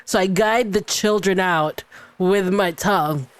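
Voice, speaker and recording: A man's voice: high-pitched, unhurried, clear, resonant tone, no room sound. Male player, clean resonant tone, improvising his character's actions casually, recorded dry. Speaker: tabletop game player.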